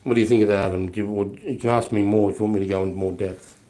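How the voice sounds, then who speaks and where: A man's voice: on the low side, steady tone, very fast, warm tone, rough recording. A man, rambling bluntly and swearing as he answers viewer questions on a live stream, his voice a little off from a flu. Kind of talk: casual live Q&A chat.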